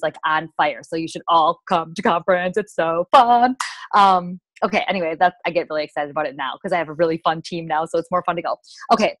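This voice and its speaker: Chatty, enthusiastic American woman, lowish voice. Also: fairly bright tone, no echo. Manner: enthusiastic, peppy, rambling with asides. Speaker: sales team leader hosting a coaching call. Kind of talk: casual, chatty motivational team call.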